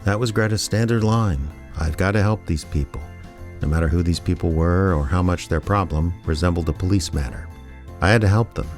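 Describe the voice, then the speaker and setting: Reluctant small-town gumshoe, played by a male actor, low deadpan delivery, radio drama with music underneath. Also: brisk, lightly acted. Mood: wry, reflective. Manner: deadpan, wry. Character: wry, reluctant small-town amateur private detective narrating his story. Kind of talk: audio drama narration and dialogue.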